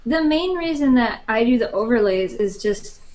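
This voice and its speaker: A woman's voice: fairly high pitch, animated, normal speed, darkish tone. A woman video creator chatting casually as a panelist and explaining her own routine. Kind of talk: casual chat.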